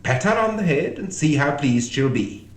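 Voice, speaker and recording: Man putting on a bossy storybook queen, lively pitch. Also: moderate pace. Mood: bossy, soothing. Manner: imperious, patronising. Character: bossy, brisk storybook chess queen, a grown woman. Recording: echoey room.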